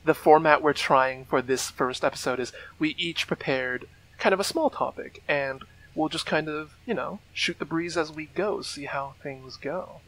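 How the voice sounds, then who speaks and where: A man's voice: fairly high pitch, normal speed, hardly any pauses, fairly bright tone, a little hiss or hum, rough recording. A man co-hosting a casual podcast, wry and self-deprecating, talking in a laid-back way. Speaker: podcast co-host.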